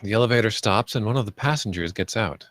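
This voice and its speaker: A man's voice: lowish voice, fast, a bit bright, dry recording, clean studio sound. A man reading stage directions aloud as scripted narration.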